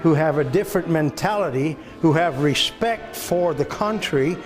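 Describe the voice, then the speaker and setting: Higher-voiced older man, chatting casually. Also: normal speed, music in the background. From Belgium. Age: 70s. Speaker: home videographer and visitor chatting with a veteran. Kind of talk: casual chat.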